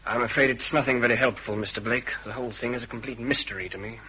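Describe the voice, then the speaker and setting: A man's voice: mid-range voice, fairly even intonation, fast talker, bright, forceful tone, slight background noise, natural voice, low-quality recording. A man playing an anxious, well-bred British husband in a radio drama, formal and stiff as he justifies himself with some hesitation. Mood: stiff, defensive. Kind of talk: radio detective drama acting.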